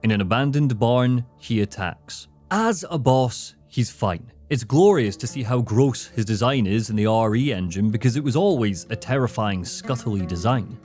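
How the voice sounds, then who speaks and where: A man narrating a scripted video essay as its host, with dramatic, deliberate phrasing. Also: medium pitch, lively intonation, a little mellow. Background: faint background music.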